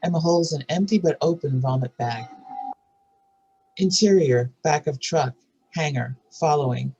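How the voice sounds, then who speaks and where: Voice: mid-range voice, measured pace, dark tone, muffled recording, slight background noise. A narrator reading screenplay stage directions and scene headings aloud at a scripted table read.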